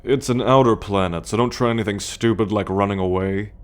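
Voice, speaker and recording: A man playing a sardonic, coolly possessive male alien captor in an ASMR roleplay, speaking deliberately with a teasing condescension. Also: lowish voice, natural voice. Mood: dry warning. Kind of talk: ASMR roleplay monologue addressed to the listener.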